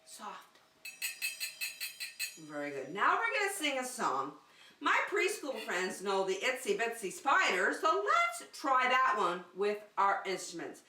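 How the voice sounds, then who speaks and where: A woman's voice: high-pitched, sharp tone. A woman preschool teacher giving simple, encouraging instructions to young children in a circle-time lesson. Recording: echoey room, distant microphone.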